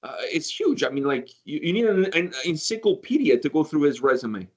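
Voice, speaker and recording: A man's voice: fairly high pitch, sing-song, a little fast, breathy edge. A man from Canada hosting a casual interview, chatty and rambling with plenty of filler words.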